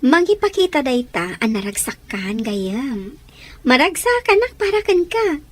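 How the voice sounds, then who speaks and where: A woman's voice: high voice, sing-song, a little slow, exaggerated voice. A woman playing an eager, teasing young friend in an audio drama. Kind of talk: Ilocano audio drama acting.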